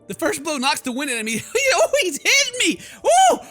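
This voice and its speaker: High-pitched, animated male gaming host. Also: normal speed. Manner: theatrical, sarcastic, exclamatory. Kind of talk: let's play commentary mixed with reading game text aloud.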